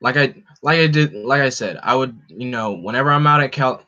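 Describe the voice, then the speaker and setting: A young man with a deep voice hosting a casual livestream, rambling with lots of fillers and answering his chat. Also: brisk, sharp tone. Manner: rambling, lots of "like" and "you know". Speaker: livestream host. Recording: dull lo-fi stream audio.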